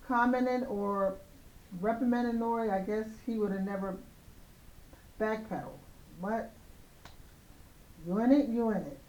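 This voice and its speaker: A slightly hoarse woman hosting a show of casual opinion commentary on celebrity news, speaking in an emphatic, admonishing way. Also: mid-range voice, darkish tone. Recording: roomy, echoing, dull lo-fi sound.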